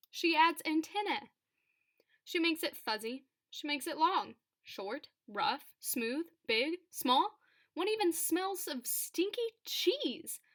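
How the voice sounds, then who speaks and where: A woman reading a children's picture book aloud for storytime, phrasing it deliberately and expressively with dramatic emphasis. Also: high-pitched, a bit bright. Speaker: storybook reader.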